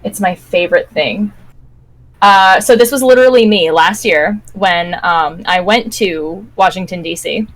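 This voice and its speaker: A woman's voice: on the low side, unhurried, low-quality recording. A woman co-hosting a podcast, telling everyday anecdotes in casual conversation. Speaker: podcast co-host. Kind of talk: casual live-stream chat with anecdotes.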